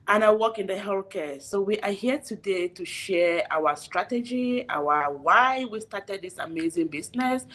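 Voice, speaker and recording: A woman's voice: medium pitch, fairly bright tone. A woman from Cameroon, a guest introducing herself in a live chat with an encouraging manner.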